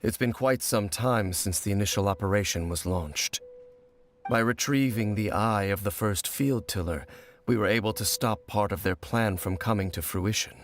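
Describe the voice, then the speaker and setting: An actor playing a grave, mysterious male wanderer in a video game, speaking in a solemn, measured, formal way. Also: on the low side, close to an everyday voice.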